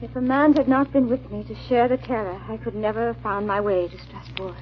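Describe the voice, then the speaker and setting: Actress as scared wife on the run, highish voice, old timey radio drama, muffled recording. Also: female voice, fairly even intonation, brisk, a little hiss or hum, natural voice. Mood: grave, recounting. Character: hunted young wife recounting her escape, anxious and urgent. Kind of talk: 1940s radio drama acting and narration.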